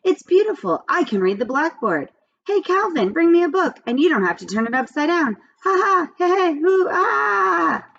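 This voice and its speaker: A woman voicing an excitable, earnest young schoolboy in a read-aloud storybook. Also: very high voice, normal speed, vocal fry, muffled recording, voice pushed up, exaggerated voice. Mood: thrilled. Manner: exclamatory, earnest. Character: excitable, slightly whiny schoolboy struggling with headstands.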